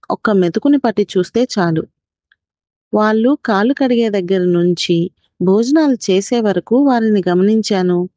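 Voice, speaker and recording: A woman voicing a thrifty, observant village husband who reasons in a measured way in a children's story. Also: medium pitch, mellow, no room sound, muffled recording, lightly acted.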